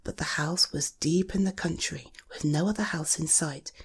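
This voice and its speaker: A British woman reading a children's story in a soft, breathy ASMR style, gentle, measured and soothing. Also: sits low, fairly even intonation. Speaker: storybook reader.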